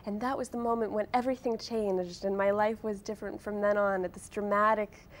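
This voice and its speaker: A woman's voice: mid-range voice, flat delivery, fairly quick. A woman in her late twenties talking casually as an interview guest, wry, self-deprecating and chatty. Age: late 20s. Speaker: author and blogger guest.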